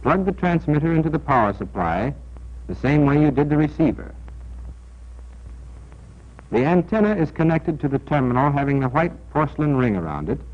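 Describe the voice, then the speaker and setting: An American man narrating a 1940s military training film in a scripted, deliberate, instructional and emphatic manner, on an old film soundtrack. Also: medium pitch. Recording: dull, band-limited 1940s film soundtrack.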